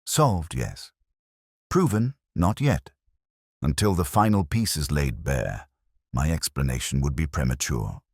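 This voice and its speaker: A man voicing a cool, precise, commanding detective in a measured, deliberate audiobook performance. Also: rumbling low, very animated, breathy edge, no room sound, clean studio sound, heavily acted. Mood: assured, deliberate.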